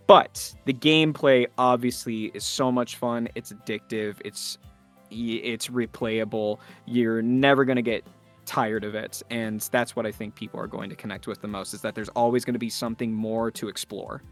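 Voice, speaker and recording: A man talking enthusiastically in an interview as a voice actor, effusive and emphatic. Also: medium pitch.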